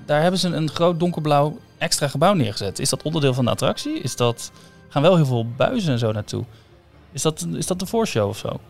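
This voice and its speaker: A man's voice: a little high, animated, a little fast, gravelly. A man, chatting casually as a podcast co-host. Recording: background music under the talk. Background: ambient theme-park music.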